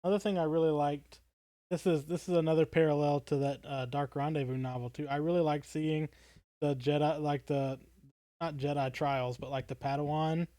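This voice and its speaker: A man's voice: fairly high pitch, steady tone, normal speed, mellow, no echo. A man co-hosting a podcast in casual fan discussion, feeling his way toward words as he talks. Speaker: podcast co-host.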